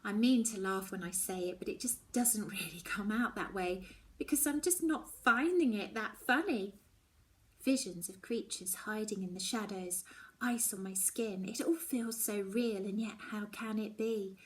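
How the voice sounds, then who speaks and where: Woman, storybook reader, expressive first-person narration, mid-pitched voice, dramatic emphasis. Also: a little slow.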